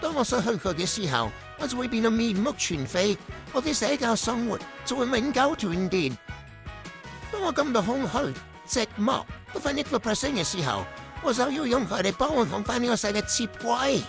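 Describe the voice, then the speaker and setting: A woman's voice: on the high side, big pitch swings, moderate pace, creaky, over music. A woman playing a dramatic, demanding boss with a pompous, theatrical manner in a comedic radio drama. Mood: melodramatic. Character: dramatic, demanding boss who talks in abstract riddles.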